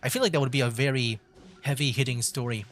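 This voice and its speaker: A man's voice: mid-range voice, fast talker. A man giving casual livestream game commentary, mildly meandering as he thinks aloud. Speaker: streamer, VTuber.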